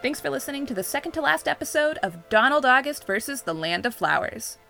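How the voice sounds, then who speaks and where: A woman reads scripted podcast credits as the announcer. Her voice is fairly high and bright with plenty of vocal fry. The recording is dry and close, with music underneath. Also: lively intonation, a little fast.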